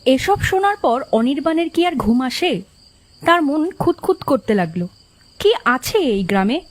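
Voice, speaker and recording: A woman's voice: high voice, big pitch swings, moderate pace. A woman giving scripted narration of a ghost story as its narrator.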